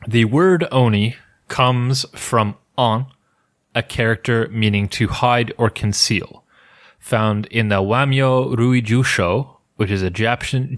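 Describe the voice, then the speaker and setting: A man's voice: fairly low pitch, a bit bright. A man co-hosting a podcast, presenting folklore research in a loose, jokey way with restarts. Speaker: podcast co-host. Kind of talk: casual podcast chat, presenting researched lore.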